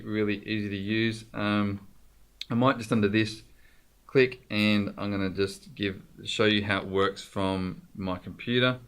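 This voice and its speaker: Low-pitched man giving an informal software walkthrough. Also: gravelly, smooth. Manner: explanatory, informal, addressing viewer directly. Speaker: tutorial presenter.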